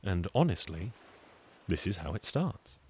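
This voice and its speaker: A man's voice: low voice, sing-song, measured pace, slightly rough. A man telling a comic folk tale in a theatrical, conversational way, with wry, sardonic asides. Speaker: storyteller, solo show performer.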